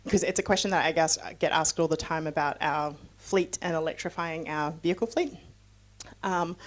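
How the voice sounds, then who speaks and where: Lower-voiced woman from New Zealand. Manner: chatty and loose, with frequent fillers and asides. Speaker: guest speaker on sustainability. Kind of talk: conversational presentation with audience questions.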